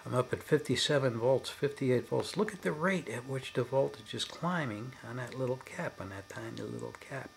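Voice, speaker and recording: A man giving an unscripted demonstration as a hobbyist, in halting, broken phrases with emphatic stresses. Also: medium pitch, expressive, vocal fry, a little hiss or hum. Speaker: hobbyist demonstrating his electronics experiments.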